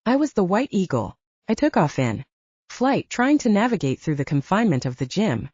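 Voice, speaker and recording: Mellow, expressive female audiobook narrator. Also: medium pitch, moderate pace, slightly rough, no room sound, lo-fi sound. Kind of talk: scripted first-person fiction narration.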